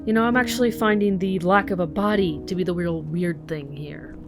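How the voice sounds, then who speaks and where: Female police detective, played by an actress, low register, blunt, profane, sardonic, short-tempered, for a crime audio drama. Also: fairly quick, over music, natural voice. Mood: dry sardonic. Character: Kate, foul-mouthed, furious, sardonic female police detective.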